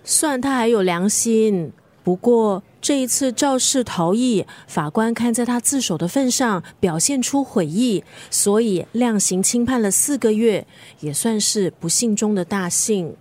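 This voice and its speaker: An actress playing a frank, chatty middle-aged best friend in a radio drama, with a Singaporean accent. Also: female voice, a little high, animated, unhurried, few pauses, darkish tone. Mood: concerned. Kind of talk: Mandarin radio drama acting.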